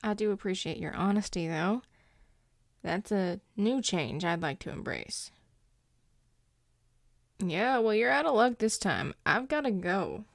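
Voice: medium pitch, expressive, measured pace, vocal fry, pauses often, no room sound. An actor playing a grumpy but caring werewolf boyfriend in an intimate audio roleplay, dry and exasperated, with sighs. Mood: grumpy, reluctant. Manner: sighing, dry, exasperated, teasing.

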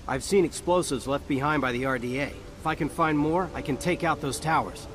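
An actor playing a wisecracking turncoat soldier in a video game, with a casual, wry manner. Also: male voice, fairly high pitch, a little fast, over music, lightly acted. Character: wisecracking human soldier turncoat in alien body. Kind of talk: video game cutscene acting.

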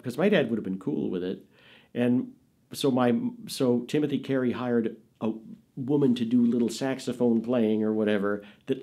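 A man in his fifties telling family stories in a casual, animated way, recorded in a small studio. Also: medium pitch. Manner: animated, expressive storyteller. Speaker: interviewee. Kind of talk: casual storytelling.

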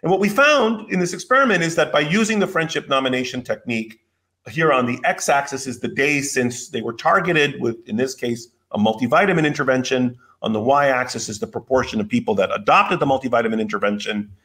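An older man giving a keynote lecture over slides from a home office on a video call, measured and explanatory, with some fillers and restarts. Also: medium pitch, fairly bright tone. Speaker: keynote speaker. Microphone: video call webcam.

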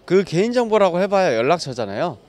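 A man's voice: high voice, very animated, fast, darkish tone. Young man, explanatory, hedging, street interview, handheld mic. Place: city street outdoors. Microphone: handheld mic held by interviewer.

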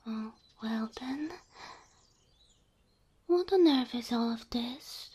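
A gentle cow girl girlfriend played by a woman speaks in a high, mellow voice for an ASMR roleplay. She is breathy, often dropping into a whisper. Her delivery is unhurried, affectionate and easily filled with wonder. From Slavic country. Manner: tender, slow, full of wonder.

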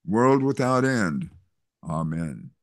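An older man reading a kindly small-town male pastor in an online play reading, soothing and measured, over a video call. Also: on the low side, sing-song, no echo, studio quality, heavily acted. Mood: calm. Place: home room on a video call. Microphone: video call computer mic.

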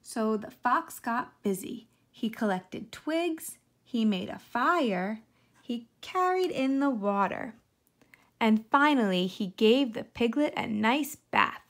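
A woman's voice: a little high, animated, slow. A woman reading a children's storybook aloud as a teacher, adding simple explanations of the pictures for young listeners.

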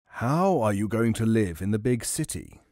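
A man's voice: fairly low pitch, animated, normal speed, a little mellow, no echo, lightly acted. A scheming, hot-tempered genie played by a male narrator speaks in a storybook tale. The delivery is commanding and theatrical, recorded dry. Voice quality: roaring when angered.

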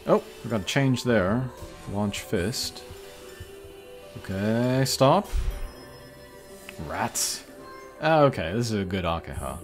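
Man, low pitch, casual gaming commentary, hesitant thinking aloud, music behind. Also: animated, slow, pauses often. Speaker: gaming channel host. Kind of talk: casual commentary over mobile game pulls. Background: game music.

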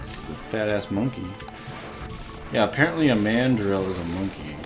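Young male let's-play host, lively up-and-down voice, excitable, cursing at the game. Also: on the low side, normal speed, over music. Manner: excitable, swearing, frustrated outbursts. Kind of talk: casual gameplay commentary.

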